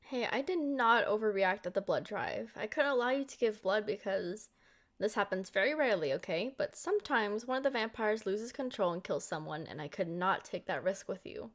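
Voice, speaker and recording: A woman's voice: medium pitch, expressive, moderate pace, close to an everyday voice. A woman voicing a centuries-old, doting and possessive vampire girlfriend in an intimate, coaxing ASMR roleplay. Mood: urgent, apologetic. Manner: coaxing, affectionate, speaking directly to a lover.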